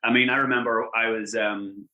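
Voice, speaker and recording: An Irish man in his thirties, a podcast guest telling travel and football stories in quick, animated casual chat with lots of "like" fillers. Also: medium pitch, poor audio. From Ireland.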